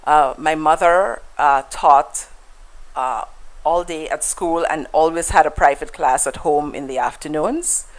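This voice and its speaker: Low-voiced Guyanese woman telling stories. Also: measured pace, low-quality recording. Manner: reflective storyteller with many fillers and restarts. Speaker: interview guest. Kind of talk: conversational interview.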